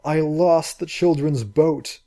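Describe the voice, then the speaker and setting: A man voicing a frail, fanatic young woman who talks in a halting, wailing way. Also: medium pitch, big pitch swings, warm tone, voice pushed up.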